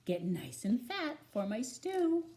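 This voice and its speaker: A woman voicing a greedy, scheming male wolf in a storybook, coaxing with gleeful, drawn-out words. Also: a little high, very animated, vocal fry, dark tone, voice pushed up, exaggerated voice. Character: hungry scheming male wolf, greedy but sweet-talking.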